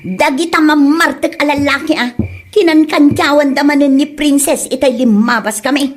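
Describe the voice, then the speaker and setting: A woman's voice: sits high, normal speed, natural voice. A woman playing a bossy, scheming married woman in a comedy drama, with an emphatic, scolding way of talking. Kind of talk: comedy drama voice acting in Ilocano.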